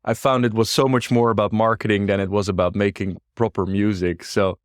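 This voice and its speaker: A young Dutch man with a Dutch accent, a startup founder chatting as an interview guest, relaxed and laughing softly. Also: fairly low pitch, brisk, dry recording, studio quality. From Netherlands. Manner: relaxed, frequent restarts and soft laughs. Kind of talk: casual interview chat.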